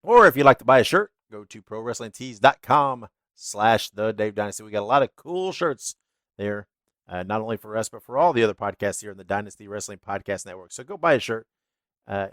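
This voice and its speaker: A man's voice: lowish voice, expressive, a little fast, a bit bright, no echo, clean studio sound. Stuffy-voiced man hosting a podcast. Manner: chatty, laughs, fillers. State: stuffy, congested. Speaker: podcast host. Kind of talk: casual podcast outro.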